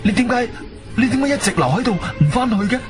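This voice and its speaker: A man acting as an intrepid adventurer, telling his story in expressive, suspenseful Cantonese radio-drama style. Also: on the high side, moderate pace. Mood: excited, urgent. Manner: expressive, suspenseful, colloquial delivery. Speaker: narrator and lead actor. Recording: background music under the voice.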